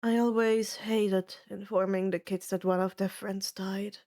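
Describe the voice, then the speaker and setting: A woman playing a jaded, sardonic male god in an audiobook, with a deadpan drawl. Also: medium pitch, a little slow, natural voice. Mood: deadpan, weary.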